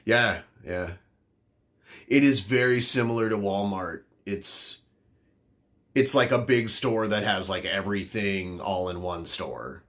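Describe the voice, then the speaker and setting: A man's voice: on the low side, takes it slowly, fairly bright tone. A man from the northern United States, a podcast host rambling casually with lots of fillers. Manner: rambling, filler-heavy, offhand. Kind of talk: casual chat answering chat.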